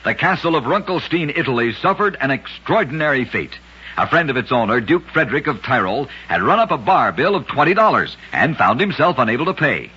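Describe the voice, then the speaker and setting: Man, old-time radio narrator, husky, bright, lively intonation, muffled vintage recording. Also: mid-range voice. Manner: brisk, dramatic storytelling cadence. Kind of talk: scripted radio narration of curious facts.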